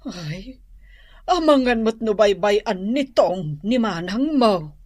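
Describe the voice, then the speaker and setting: A woman playing a fretful, nagging mother who longs for a grandchild, husky and insistent, in an Ilocano radio drama. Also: mid-range voice, animated, slow pace, darkish tone, no room sound, clean studio sound. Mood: worried. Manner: insistent, worrying.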